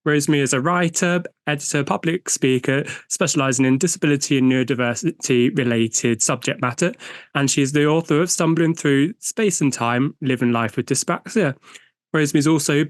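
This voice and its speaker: A man from the UK hosts an interview podcast in a slightly higher than usual voice. He reads intros smoothly but stumbles a bit when he talks freely. The sound is close and dry. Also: no room sound. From United Kingdom. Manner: friendly, slightly halting when improvising. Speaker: podcast host.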